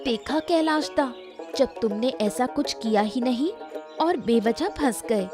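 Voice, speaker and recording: A woman's voice: a little high, animated, unhurried, music in the background. A woman voicing an earnest, sympathetic village neighbour in a Hindi moral-story cartoon. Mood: supportive. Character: sympathetic village neighbour, wary then supportive.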